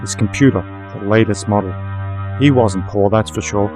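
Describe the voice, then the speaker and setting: A man's voice: on the low side, normal speed, close to an everyday voice. A man playing a wry, streetwise private investigator in a radio play, laconic and colloquial. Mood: wry, reflective. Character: wry, streetwise male private investigator narrating his case. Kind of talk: radio play dialogue and noir detective narration. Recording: music under the voice.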